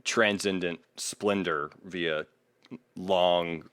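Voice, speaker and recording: A man with a lowish voice hosts a casual music discussion. He is blunt and opinionated, laying out his case one point after another. The sound is dry, with very little room around him. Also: steady tone, very slow, a bit bright, smooth, no room sound. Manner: blunt, makes points as a numbered list. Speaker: podcast host.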